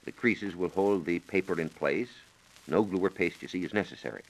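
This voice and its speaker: An American man giving measured, precise instructions as a science reporter on a scripted 1940s radio program. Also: sits low, low-quality recording. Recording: 1940s radio broadcast recording.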